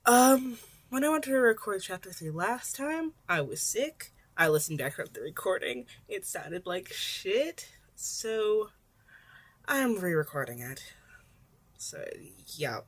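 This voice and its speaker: A young adult woman speaking as an amateur podfic reader, in casual chat and expressive story narration. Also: mid-range voice.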